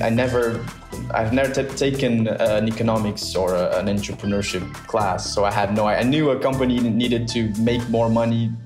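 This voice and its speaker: A young man from the south of Portugal, a founder answering interview questions thoughtfully with many pauses and restarts. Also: on the low side. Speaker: startup founder, guest. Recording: roomy sound with music bed.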